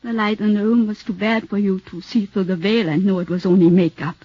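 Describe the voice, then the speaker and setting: An actress playing a cunning, cold former actress who speaks in a husky, mocking, clipped way, in a 1940s radio drama. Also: female voice, medium pitch, fairly even intonation, brisk, warm tone, a little hiss or hum, natural voice. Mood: triumphant, intense. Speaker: witness in murder trial. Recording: dull lo-fi 1940s radio broadcast.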